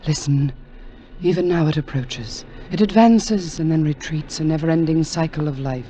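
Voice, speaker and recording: A woman's voice: low voice, mellow, lightly acted. An actress playing an immortal, majestic queen in a 1940s radio drama, speaking grandly and solemnly. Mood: solemn, proud. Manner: grand, slow, solemn declamation. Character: immortal sorceress queen, majestic, commanding and tender. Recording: dull old 1940s radio recording with music.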